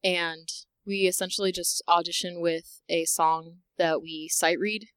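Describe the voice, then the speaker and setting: Chatty teenage girl, smooth lower voice. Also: steady tone, unhurried, a bit bright, dry recording. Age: teens. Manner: chatty, many fillers. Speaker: senior in a high school a cappella group.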